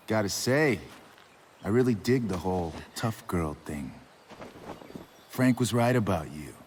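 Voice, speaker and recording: Game villain: volatile drug dealer, taunting and sarcastic, animated pitch, unhurried menace. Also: male voice, slight background noise. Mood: mocking. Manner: taunting, drawn-out, sarcastic delivery.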